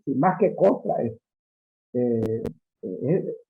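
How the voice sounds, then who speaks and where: A man explaining ideas in a seminar, speaking haltingly with many drawn-out fillers. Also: mid-range voice, expressive, gravelly. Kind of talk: seminar discussion. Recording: dull lo-fi audio.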